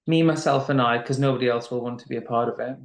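A man, a podcast guest giving camp, sassy quick answers in a quiz. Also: medium pitch, fairly even intonation. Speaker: podcast guest, drag artist. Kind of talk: playful rapid-fire quiz answers. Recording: echoey room.

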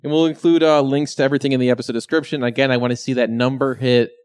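A man's voice: mid-range voice, steady tone, racing, smooth. A man hosting a podcast, moving the show along and reading out contact details. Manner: reads out plugs and contact details. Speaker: podcast host. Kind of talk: casual chat, show segues.

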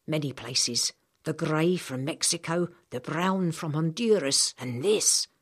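A woman reader plays an old storybook apothecary, a gruff and knowing man. The speech is measured and a little lecturing, low and husky. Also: full character voice. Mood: knowing, proud.

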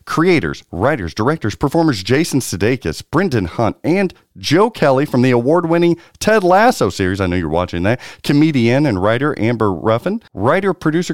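A man's voice: medium pitch, no room sound, studio quality. A man hosting a pinball podcast, announcing in a showy, hype style before settling into casual chat. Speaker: podcast host.

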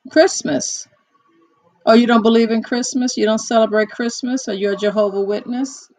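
A hoarse woman in casual one-on-one chat, talking haltingly with many fillers and restarts. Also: on the high side, steady tone, a little mellow, no room sound, rough recording. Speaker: chat partner.

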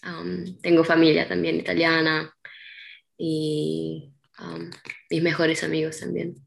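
A young woman from Peru talking slowly as an interview guest, often pausing and starting her sentences over. Her voice sits a little low and has a gravelly fry to it. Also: flat delivery. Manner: hesitant, many ums and restarts. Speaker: interview guest, artist's model. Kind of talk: casual interview chat.

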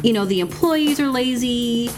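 A woman putting on a cheerful pitchman voice for a fake ad about a bad barbecue joint, selling it bluntly. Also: expressive, moderate pace, natural voice. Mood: chipper. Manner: upbeat sales pitch, bluntly self-deprecating. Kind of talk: fake radio ad. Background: music.

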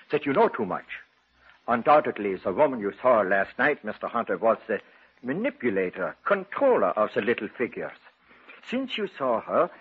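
A grave occult expert from a vintage radio horror play, his husky voice pitched fairly high and swinging expressively. He explains dark matters with formal deliberation, through a tinny, narrow old broadcast sound. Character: solemn learned male occult researcher, grave and knowing. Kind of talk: old-time radio drama acting. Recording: muffled tinny 1940s-50s radio broadcast.

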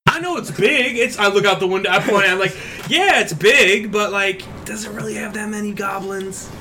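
A man's voice: high voice, normal speed, sharp tone, soft background music. A man in a tabletop game, joking offhand with a lot of fillers. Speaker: player. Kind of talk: casual tabletop banter.

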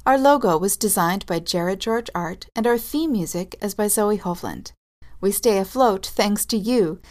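A woman announcer delivering scripted closing credits narration for a show. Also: medium pitch. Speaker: show announcer and cast member.

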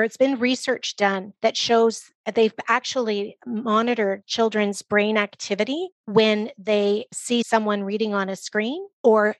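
A woman speaking as a guest expert, giving measured, articulate explanations in an interview with emphasis on key phrases. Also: mid-range voice, no echo, rough recording. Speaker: guest expert, early literacy organization leader.

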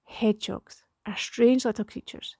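A woman reading a rhyming poem in a hushed, partly whispered way. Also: fairly high pitch, steady tone, measured pace, husky, dry recording. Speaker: library staff member reading a poem. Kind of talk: poetry reading.

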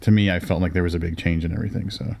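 A man interviewing an author, speaking in a halting, hesitant way as he searches for words. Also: low-pitched, steady tone, gravelly, darkish tone. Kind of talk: interview conversation.

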